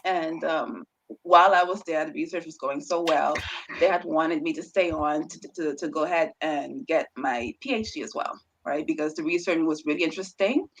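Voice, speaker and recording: A woman's voice: low-pitched, normal speed. A woman researcher, a guest on an interview show, telling her story casually with a stutter, repeated words and frequent laughs. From Bahamas.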